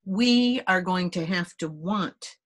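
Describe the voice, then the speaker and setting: Female host, gentle and unhurried, guides a meditation, slightly low voice. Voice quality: soft, gentle, deliberate delivery. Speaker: host leading a group conversation. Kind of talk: calm guided meditation introduction and reading.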